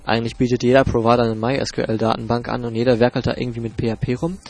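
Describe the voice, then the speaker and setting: Husky-voiced German man with a cold. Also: medium pitch, level tone, rapid-fire, a little mellow, faint music behind. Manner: chatty, jokey, loose fillers. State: stuffy cold. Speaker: podcast host. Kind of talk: casual podcast presenting. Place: home in Hamburg.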